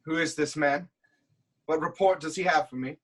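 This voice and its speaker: A man's voice: on the high side, moderate pace, close to an everyday voice. An actor playing a proud, troubled king haunted by prophecy, in an intense, declamatory reading of Greek tragedy. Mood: commanding.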